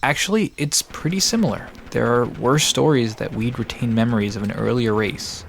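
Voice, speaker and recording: A man's voice: mid-range voice, normal speed, creaky, slight background noise, lightly acted. An actor playing a friendly, knowledgeable young man who explains folklore in an easygoing, reassuring way in an audio drama. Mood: thoughtful. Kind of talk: conversational audio drama acting. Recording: music and crickets behind voice.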